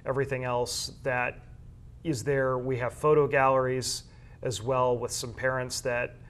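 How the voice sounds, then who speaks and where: A man's voice: medium pitch, level tone, unhurried, a bit bright. A man speaking as an interview guest, explaining school sports media work in a conversational way and often rephrasing his points. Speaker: guest, high school athletics media coordinator and broadcaster.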